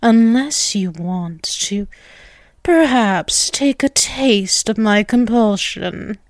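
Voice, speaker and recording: Woman playing a slow-talking, taunting vampiress. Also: a little high, animated, dry recording. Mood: taunting, commanding. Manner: slow, condescending, teasing, with drawn-out words and chuckles. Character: dominant, taunting ageless vampiress toying with a captive human. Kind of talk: ASMR roleplay acting.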